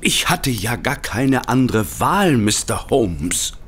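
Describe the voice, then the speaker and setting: An actor playing a panicky, guilt-ridden hotel manager turned thief in an audio drama, agitated, halting and pleading. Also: male voice, medium pitch, very animated, fairly bright tone, a little hiss or hum. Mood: confessing, shaken. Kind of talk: German audio drama acting.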